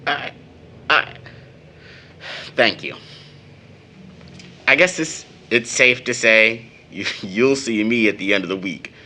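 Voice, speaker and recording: A man playing a hesitant, polite young man in an audio drama, with halting speech. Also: medium pitch, sharp tone. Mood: hesitant, grateful.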